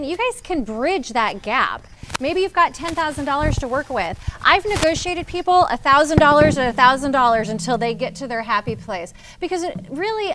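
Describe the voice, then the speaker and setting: A woman's voice: high voice, noisy background. A woman leading a real estate team meeting, explaining deals to agents in a direct, teaching manner. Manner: direct, explanatory, addresses the group. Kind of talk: training talk to agents.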